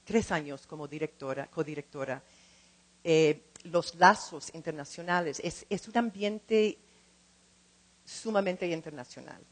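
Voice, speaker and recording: A middle-aged woman speaking in a second language as she gives an informal talk, halting and repeating words as she searches for the next one. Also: deep voice. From lives in California. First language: not Spanish, speaks it as a second language. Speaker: translator and program co-director.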